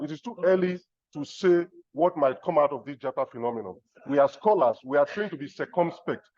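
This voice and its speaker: A middle-aged man from Nigeria giving measured, reflective remarks as a discussant on an academic conference panel. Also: fairly high pitch, darkish tone, no echo, highs cut off. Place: conference room.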